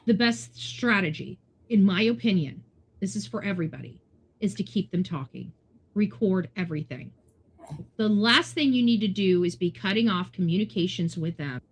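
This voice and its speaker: A woman's voice: mid-range voice, expressive, normal speed, breathy edge, low-quality recording. An American woman giving unscripted, opinionated commentary in a blunt, emphatic, lecturing way. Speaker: online true-crime commentator.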